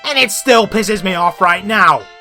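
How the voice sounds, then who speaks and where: A woman presents a scripted comedic review in a bright, lively voice. Her pitch moves around a lot as she rants bluntly and swears with exasperation. Faint music plays behind her. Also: a little slow. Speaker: host of a cartoon review show.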